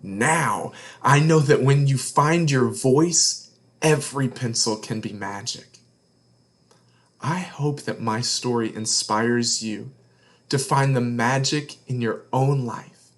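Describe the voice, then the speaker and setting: Man, medium pitch, slow pace, earnest storytime read-aloud, deliberate dramatic emphasis, hushed phrase endings. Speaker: read-along host reading children's books.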